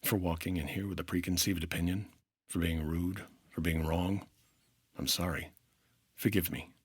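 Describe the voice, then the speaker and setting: An actor as a hard-boiled investigator from an old radio detective play. His voice is fairly low with a rough husk, recorded dry and close. He is terse and brusque, probing with clipped questions. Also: steady tone, moderate pace, no echo. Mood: contrite. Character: brusque, hard-boiled 1950s insurance investigator, exasperated but decent. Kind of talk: 1950s radio detective drama acting.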